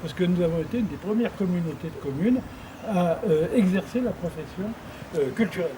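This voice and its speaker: A man giving reflective interview testimony as a local official, halting and often repeating words as he searches for the next one. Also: sits high, lively intonation. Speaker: former president of an intercommunal council, interviewed. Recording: clearly noisy background ambience.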